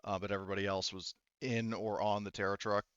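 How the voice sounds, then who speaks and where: A man speaking as game master of a casual tabletop roleplaying game, in a halting, informal, sweary way. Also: on the low side, flat delivery, fairly bright tone, smooth, no room sound, dull audio.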